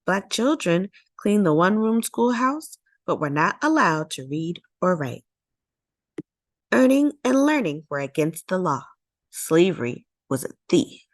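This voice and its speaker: A woman's voice: lowish voice, big pitch swings, unhurried, no room sound, studio quality. A woman narrating a children's storybook in a dramatic, emphatic read-aloud style, drawing out key words.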